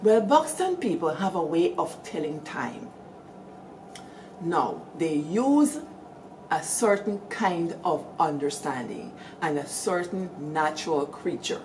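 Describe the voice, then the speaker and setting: A middle-aged Guyanese woman hosting, telling village stories in a lively, animated way and calling out to her listeners. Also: lowish voice, takes it slowly, background noise. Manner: lively, animated storytelling with call-outs to listeners. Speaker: storyteller host. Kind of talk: storytelling talk.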